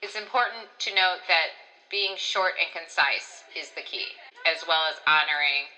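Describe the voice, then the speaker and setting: A woman's voice: low-pitched, fairly even intonation, unhurried, pushed and bright, low-quality recording. A woman co-hosting a staff training talk, giving short, scripted-sounding instructional points. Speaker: co-host, school staff trainer.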